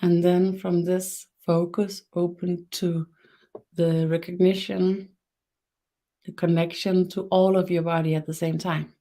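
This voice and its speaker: A woman with a Danish accent, hosting a live webinar and guiding a body meditation, slow and halting with fillers. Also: on the low side, level tone. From Denmark. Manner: soft, guiding instructions. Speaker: webinar host, energy worker.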